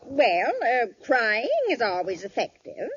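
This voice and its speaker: A woman's voice: a little high, expressive, takes it slowly, fairly bright tone, slightly rough, full character voice. A woman playing a sympathetic, wisecracking household maid in a 1950s radio comedy, with dry comic sympathy. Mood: advising. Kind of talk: 1950s radio sitcom acting. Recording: very noisy, dull old radio.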